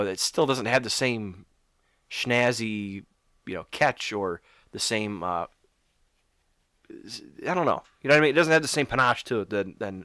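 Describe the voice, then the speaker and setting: A man's voice: fairly low pitch, bright, forceful tone, no echo, clean studio sound. A man co-hosting a casual podcast, coming down with a cold and sounding sniffly, rambling and correcting himself as he goes. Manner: rambling, self-correcting, stops to apologize. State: getting sick, sniffly. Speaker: podcast co-host. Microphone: podcast microphone.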